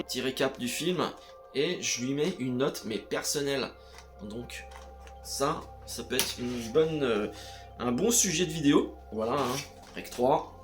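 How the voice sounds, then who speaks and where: A man talking casually to camera about his home cinema purchases, hesitating often and speaking straight to the viewer. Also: medium pitch. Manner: hesitant, full of "euh" fillers, addresses viewer as "tu". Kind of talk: casual unscripted chat to camera.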